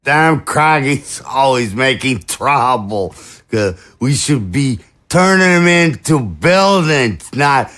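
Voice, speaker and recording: An actor playing a drunken, slovenly, frog-like male alien who grumbles slowly. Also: medium pitch, lively intonation, a bit bright. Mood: grumbling.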